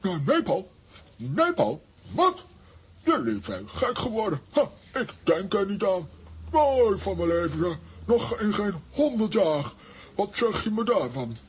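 An actor playing a blustering, stubborn, indignant man with a husky voice in a children's audio drama. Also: high-pitched, big pitch swings, measured pace, exaggerated voice. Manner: blustering, exclamatory. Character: Lambiek, blustering, stubborn, indignant grown comic-strip man.

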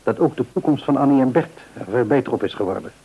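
An actor playing a devout, reassuring middle-aged father in a radio play, speaking in a measured, earnest, counselling way. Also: mid-range voice, lively intonation, breathy edge, phone-quality sound. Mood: content, reflective. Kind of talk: mid-century radio play acting.

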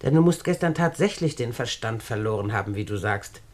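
An actress playing a domineering, wealthy old aunt in a German radio play, sarcastic and condescending. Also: female voice, rumbling low, animated, brisk, darkish tone. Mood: cool, dismissive.

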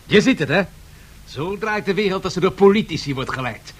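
Radio satire: self-assured economist boss, husky, slightly high voice, lecturing his juniors. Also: expressive, a little fast. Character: cynical, commanding male economist financier. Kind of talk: satirical radio drama acting.

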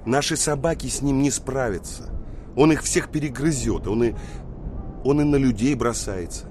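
An actor playing a young engineer, a troubled and emphatic master of a wolf, in an audio drama. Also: male voice, medium pitch, moderate pace, darkish tone, lightly acted. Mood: exasperated. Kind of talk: Russian audio drama acting. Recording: faint vehicle and ambience noise.

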